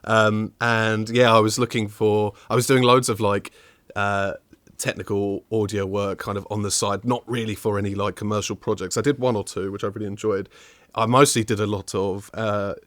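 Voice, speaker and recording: A man's voice: lowish voice, level tone, moderate pace, fairly bright tone, no echo, clean studio sound. Chatty young man with a southern English accent. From England, outside southwest London. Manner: chatty and upbeat, with fillers. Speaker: guest, technical audio designer. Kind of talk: casual interview chat.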